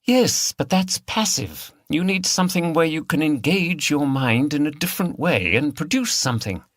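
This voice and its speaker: A man plays a brisk, teasing woman in a mystery audiobook. The voice is raised well up in pitch, frank and chiding, with a very dry, close sound. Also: expressive, fairly bright tone, dry recording. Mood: frank, encouraging. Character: brisk, teasing woman, partner of a weary detective.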